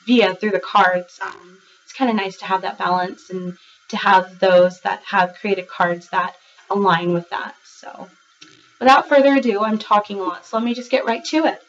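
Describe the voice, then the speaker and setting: A woman's voice: mid-range voice, far from the mic. Stuffy-nosed woman rambling through a tarot reading, a bit off-mic, dull lo-fi sound, faint hiss. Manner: rambling and chatty with many fillers and restarts. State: congested, a little raspy from a cold. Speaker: tarot reader. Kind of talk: casual monthly tarot reading to camera.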